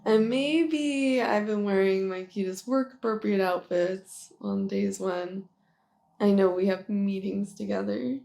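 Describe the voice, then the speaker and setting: A woman's voice: medium pitch, vocal fry, dark tone. A woman playing a shy, flustered young office worker confessing a crush in a nervous, rambling, giggly ASMR roleplay. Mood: shyly confessing. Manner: nervous, halting, rambling, giggly, self-deprecating. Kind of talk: intimate ASMR roleplay monologue.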